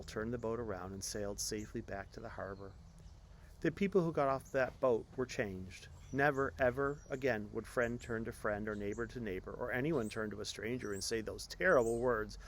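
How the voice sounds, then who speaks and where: A man reading a children's-style story aloud as an expressive storyteller. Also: mid-range voice, a little hiss or hum. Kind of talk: expressive scripted story narration.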